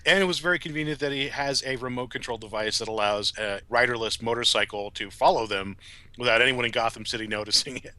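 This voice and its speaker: A man's voice: medium pitch, fast, pushed and bright, no room sound. A man talking analytically and casually as a podcast commentator.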